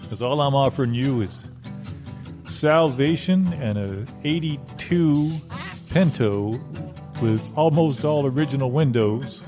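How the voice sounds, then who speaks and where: A man improvising a folksy preacher who deadpans hellfire and used car deals in a parody radio ad. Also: takes it slowly. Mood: righteous selling. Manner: homespun, deadpan mix of sermon and sales pitch. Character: folksy fire-and-brimstone Baptist preacher selling used cars. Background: guitar music.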